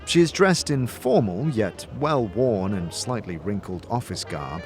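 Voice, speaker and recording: A man narrating a scripted fantasy audio drama in a measured, descriptive storytelling manner. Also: on the low side, big pitch swings, darkish tone, soft background music. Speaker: narrator and game master.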